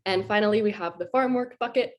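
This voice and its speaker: A young woman speaks with a slight sniffle from a head cold. She delivers a prepared presentation in an earnest, measured way, with the odd um, at a middle pitch. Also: lo-fi sound. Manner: earnest and measured, with occasional ums. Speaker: graduating fellow presenting her service term.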